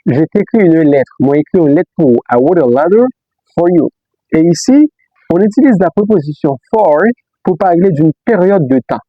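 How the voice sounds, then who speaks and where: A man teaching a beginner language lesson, repeating example sentences slowly and checking in with "ok?" and "let's go, guys". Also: fairly high pitch, lively intonation, no echo. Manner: repeats example sentences slowly, switches between Creole, French and English; frequent "ok?" and "let's go, guys" prompts. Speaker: English teacher. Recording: dull lo-fi dry recording.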